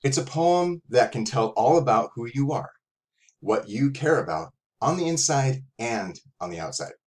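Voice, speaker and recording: A man's voice: mid-range voice, expressive, moderate pace. A middle-aged man giving a casual, conversational writing lesson as an author and teacher, recorded indoors at a computer. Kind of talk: casual instructional talk to young writers. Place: small room at home, indoors.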